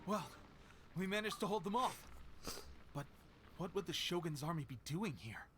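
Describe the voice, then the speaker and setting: An actor playing an eager, self-important resistance soldier hungry for glory in a video game. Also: male voice, sits high, expressive, normal speed, music in the background. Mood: alarmed, resolute.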